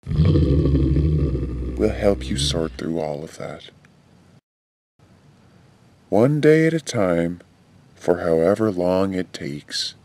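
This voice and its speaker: A man playing a slow, menacingly soothing presence in an audio horror drama, with music under the voice. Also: deep voice, animated, mellow, slight background noise, full character voice. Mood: eerily soothing.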